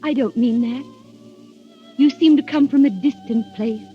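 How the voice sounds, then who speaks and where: A woman's voice: high-pitched, a little slow. An actress playing a dreamy, earnest young woman in love, in soulful, formal 1930s radio drama style. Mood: searching, uneasy. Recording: dull vintage radio recording, music behind.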